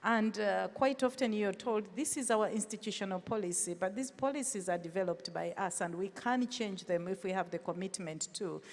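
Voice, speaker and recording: Female panelist, mid voice, earnest, reverberant room. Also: mid-range voice. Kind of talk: panel answer. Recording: echoey conference hall.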